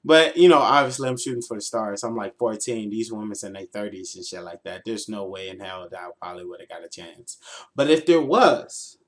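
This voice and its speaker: A 24-year-old American man telling stories casually as a livestreamer, in a slangy, playful, animated way. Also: lowish voice, fairly quick. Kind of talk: casual, informal storytelling.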